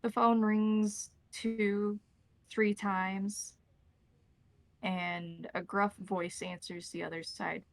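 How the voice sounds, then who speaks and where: A woman narrating a tabletop roleplaying game as game master, improvising scenes in a measured, descriptive way. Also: mid-range voice, little pitch movement, no echo.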